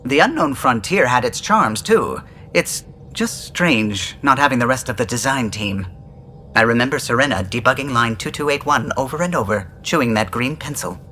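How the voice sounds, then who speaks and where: A man's voice: medium pitch, animated, moderate pace, bright, forceful tone, a little hiss or hum. A man playing a polite, wistful alien starship pilot in a video game, chatty and eloquent.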